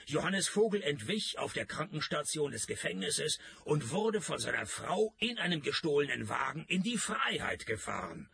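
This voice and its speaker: An actor playing a cynical, wisecracking private detective who narrates a radio crime comedy in a deadpan, gravelly voice. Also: male voice, on the high side, normal speed, few pauses, full character voice. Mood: dry narrating. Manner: deadpan, sardonic.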